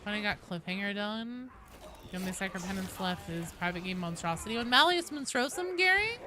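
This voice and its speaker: A woman's voice: mid-range voice, lively intonation, brisk. Woman streamer chatting over game noise. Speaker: live streamer hosting gameplay. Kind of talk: casual chat with viewers while gaming.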